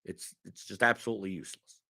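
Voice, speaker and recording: A man with a bright, forceful voice hosts a casual fantasy sports livestream and answers questions from chat. His voice is going hoarse from a cough. He rambles and repeats words as he restarts his sentences. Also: on the low side, quick pace. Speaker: fantasy sports strategy show host.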